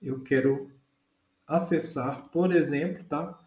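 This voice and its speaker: A man's voice: on the high side, takes it slowly, a little mellow, echoey room. A man in his thirties with a Brazilian Portuguese accent, teaching a casual data engineering lesson step by step and often checking that his listeners follow.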